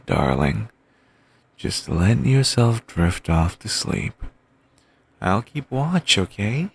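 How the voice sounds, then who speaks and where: A man playing an obsessive, doting young boyfriend, murmuring soft, fixated repetitions in an audio roleplay. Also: lowish voice, creaky, slightly rough, full character voice.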